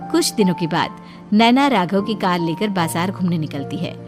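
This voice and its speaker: A woman's voice: fairly low pitch, animated, moderate pace, loud music behind the voice. A woman narrating a scripted moral story.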